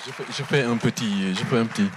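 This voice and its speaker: A man's voice: medium pitch, quick pace, long reverb tail, a loud crowd, heavy background noise. A man chairing a conference who thanks speakers and calls the next one, talking informally with hesitant filler sounds. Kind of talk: moderating a conference.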